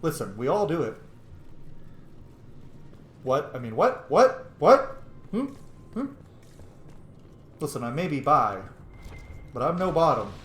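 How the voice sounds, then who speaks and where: A man's voice: fairly high pitch, big pitch swings, takes it slowly, long pauses, fairly bright tone. A man hosting a game playthrough with casual, playful, sweary commentary. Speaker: gaming video host. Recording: echoey room, faint noise.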